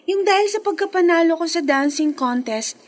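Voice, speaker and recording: A woman's voice: extremely high pitch, animated, moderate pace. A woman playing a sweet, eager, polite teenage schoolgirl in a Filipino radio drama. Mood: grateful, hopeful. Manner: polite, earnest.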